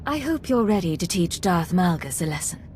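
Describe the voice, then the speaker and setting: An actress playing a cool, resolute Imperial agent in a game, with firm, businesslike speech. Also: female voice, lowish voice, very animated, normal speed, darkish tone, slight background noise. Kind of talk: video game cutscene acting.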